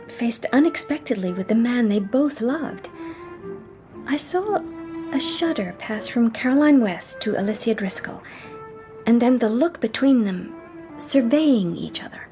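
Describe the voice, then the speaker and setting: Poised, high-toned lady detective. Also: lively intonation, over music. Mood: reflective. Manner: poised, measured, literate. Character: composed, sharp-witted young lady detective narrating her case. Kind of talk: period radio drama acting and narration.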